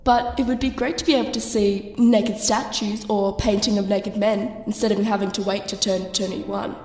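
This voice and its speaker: A woman's voice: medium pitch, steady tone, a little fast, a little mellow, lightly acted. A young woman acting in an audio drama as a blunt, suspicious, street-wise friend, with a brash, matter-of-fact manner. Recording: echoey room with faint noise.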